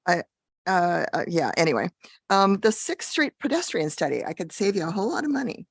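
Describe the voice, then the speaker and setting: A woman on a budget committee with a hoarse voice, chatty and blunt as she asks questions at a public meeting. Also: fairly low pitch, sing-song, a little fast, dry recording, clean studio sound.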